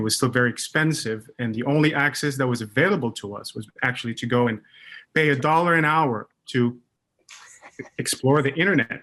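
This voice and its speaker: A man's voice: mid-range voice, fairly bright tone. A man in his thirties from Morocco, speaking as a guest on a panel webcast, with many fillers and restarts. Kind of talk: casual panel chat.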